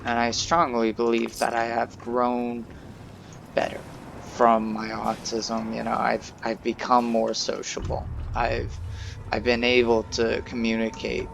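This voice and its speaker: Slow monotone young man, halting. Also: medium pitch, creaky, noisy background. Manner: monotone, halting with many fillers. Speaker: gaming channel host. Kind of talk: rambling gameplay commentary. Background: loud game music.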